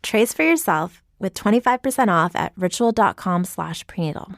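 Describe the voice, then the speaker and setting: A woman's voice: medium pitch, animated, normal speed. A woman reading a scripted advertisement, earnest and polished. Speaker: company founder in an ad. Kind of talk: scripted ad read.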